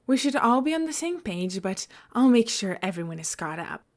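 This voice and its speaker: A woman reading the part of a smooth, nonchalant man who leads a team in an audio story. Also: a little high, sing-song, normal speed, pitched above the performer's own voice. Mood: businesslike. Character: smooth, nonchalant male leader, welcoming and persuasive.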